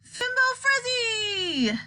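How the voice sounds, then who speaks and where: A wild, eccentric storybook man chants nonsense incantations in a very high, piercing voice, acted out by a woman. Words are stretched and hurled out with frenzied intensity. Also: animated, bright, forceful tone, heavily acted. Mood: frenzied screaming. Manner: frenzied, drawn-out, chanting delivery. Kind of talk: children's storybook character voice.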